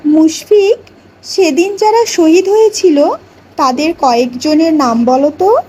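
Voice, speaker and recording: A woman playing a schoolteacher in an educational cartoon, narrating a lesson and quizzing pupils in a deliberate, instructive way. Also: very high-pitched, warm tone, slight background noise, poor audio. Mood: encouraging, questioning. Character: female schoolteacher telling a history lesson to children. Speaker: teacher.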